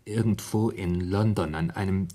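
An actor in a 1970s German radio drama playing a tormented, anxious man who haltingly confesses what haunts him, in a husky voice. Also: sits low, steady tone, warm tone. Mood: haunted, hushed. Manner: halting, haunted confessional storytelling with broken phrases.

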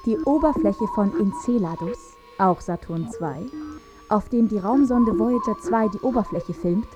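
A woman's voice: mid-range voice, unhurried, highs cut off, over music, natural voice. A woman acting as a factual narrator in a radio drama, reading in a documentary style. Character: factual female narrator describing moon surfaces.

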